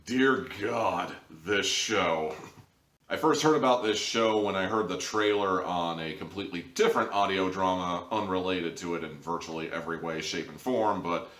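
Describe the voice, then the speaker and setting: A man's voice: sits low, normal speed, fairly bright tone. A man giving a casual, opinionated review in an emphatic, wry, conversational way. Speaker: audio drama reviewer, host. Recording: roomy, distant microphone.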